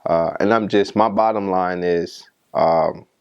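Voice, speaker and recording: Deep-voiced Liberian-born man hosting a podcast. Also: steady tone, takes it slowly, clear, resonant tone, highs cut off. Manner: warm, repetitive, restarts phrases often. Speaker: podcast host. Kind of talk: casual interview chat.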